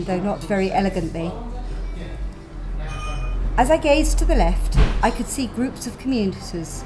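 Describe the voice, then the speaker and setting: A woman reading her own first-person story aloud in a gentle, wry manner. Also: on the high side, poor audio. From England. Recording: music playing behind.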